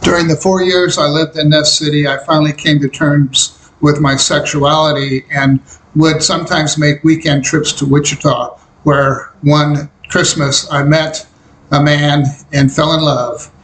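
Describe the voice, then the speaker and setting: An older man from Kansas recounting memories of his youth in a reflective, unhurried way. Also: on the high side, little pitch movement, a bit bright, slight background noise. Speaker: interviewee recalling his life. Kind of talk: personal memoir narration. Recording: roomy echo.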